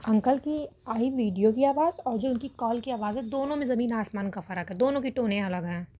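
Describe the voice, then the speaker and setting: A woman delivers opinion commentary straight to her audience. Her voice sits a little high but has a very mellow, relaxed timbre. She speaks earnestly, often restating a phrase to drive it home. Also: a little fast. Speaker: reaction video host commenting on a family controversy. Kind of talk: opinion commentary addressed to viewers.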